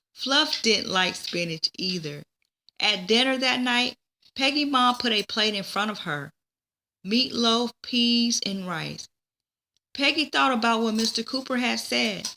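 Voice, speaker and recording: A woman reading a children's story aloud in a deliberate way, now and then stumbling and repeating words. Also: mid-range voice, bright, forceful tone, slight background noise, rough recording. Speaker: teacher reading a book aloud. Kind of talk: children's read-aloud narration.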